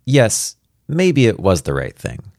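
A man's voice: lowish voice, huge pitch swings, slow pace, creaky, darkish tone, no echo, clean studio sound, pitched above the performer's own voice, heavily acted. A man reading the part of an anxious, protective father in a story, speaking in an earnest, cautioning way. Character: anxious, protective father of a gifted little girl.

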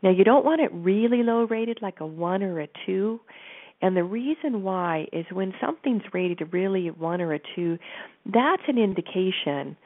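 A woman with a stuffy nose, calmly guiding listeners through an exercise from her office and speaking directly to them. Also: medium pitch, moderate pace. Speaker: host and coach. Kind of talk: guided teaching talk. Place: home office. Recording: narrow phone-like line.

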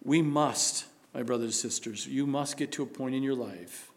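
A man preaching a sermon, building to loud emphatic stretches and dropping to a soft prayerful tone. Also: medium pitch, moderate pace.

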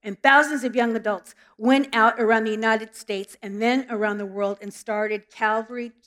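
A woman's voice: fairly high pitch, steady tone, normal speed, fairly bright tone. A middle-aged woman from Southern California preaching a sermon and praying in a church, earnest and storytelling, with small restarts. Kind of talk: sermon with prayer. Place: church sanctuary.

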